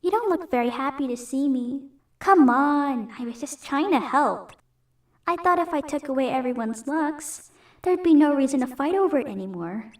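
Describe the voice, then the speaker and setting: A woman in an amateur fandub voicing a smug, taunting and playful dark creature with a teasing, mock-sweet manner. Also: high-pitched, lively intonation, moderate pace. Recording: roomy home recording, distant mic, music behind.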